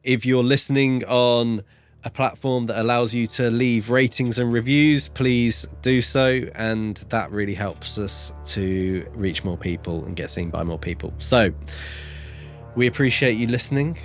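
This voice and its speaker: A man's voice: medium pitch, measured pace, soft background music. A man, co-hosting a podcast in a casual book review chat. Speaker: podcast co-host.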